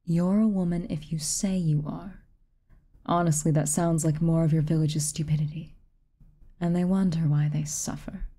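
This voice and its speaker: A woman voicing a haughty, reclusive tsundere witch in a soft ASMR roleplay, aloof and commanding, at times dropping near a whisper. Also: low-pitched, steady tone, normal speed. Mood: brusque, kind. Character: haughty, reclusive tsundere witch, stern but secretly kind.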